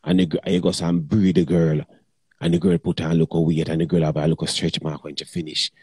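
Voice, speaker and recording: A man's voice: extremely low pitch, little pitch movement, brisk, a little mellow, slightly rough, no room sound, studio quality. A middle-aged man, talking bluntly and crudely in patois in a casual chat.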